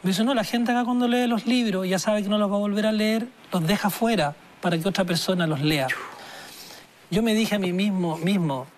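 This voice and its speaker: High-voiced guy in his 40s, slow and reflective, lots of restarts. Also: a little hiss or hum. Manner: slow, thoughtful, halting with many restarts. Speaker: singer-songwriter guest. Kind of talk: reflective interview talk.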